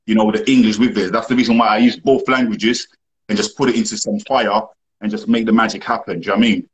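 A man's voice: fairly low pitch, fairly even intonation, quick pace, slight background noise, low-quality recording. A young man whose first language is Portuguese, chatting casually on a live video call from a room, often saying "obviously" and "you know what I mean". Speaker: guest rapper. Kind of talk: casual chat on a live stream. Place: indoor room. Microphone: phone at arm's length, video call.

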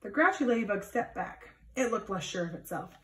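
A woman reads a picture book to children in an expressive, explanatory way. Her voice is medium in pitch, recorded slightly away from the mic in an echoey room. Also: brisk, distant mic. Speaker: storybook reader for children. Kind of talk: expressive read-aloud with simple explanations for children. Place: small plain indoor room. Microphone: phone or camera a few feet away.

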